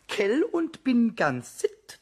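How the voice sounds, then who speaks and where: A man playing a vain, cheeky, childlike little kobold with a squeaky, raspy voice in a German children's audio play. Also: huge pitch swings, very slow, full character voice. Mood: gleefully boastful. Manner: boastful, sing-song reciting, exclaiming.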